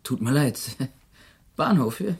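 An actor playing a rough, uneasy, slow-witted male drifter in a German radio crime drama, with a husky voice and blunt, colloquial replies. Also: medium pitch, big pitch swings, darkish tone, exaggerated voice. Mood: puzzled.

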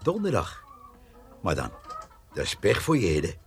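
An actor playing a sly, rambling old farmhand in a radio play, hesitant and repeating himself. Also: male voice, sits low, wildly swooping pitch, a little slow, husky, full character voice. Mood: dry, easygoing. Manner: hesitant, repeats words, refers to himself by name. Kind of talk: Dutch radio play acting. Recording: noisy radio play recording.

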